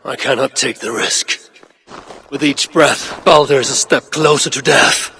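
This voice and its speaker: An actor voicing a weary, stern old Norse god-king in a video game, grave and terse in a gravelly voice. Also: medium pitch, fairly even intonation, a little slow, pushed and bright, slight background noise. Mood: grave, resolute. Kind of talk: dramatic video game voice acting.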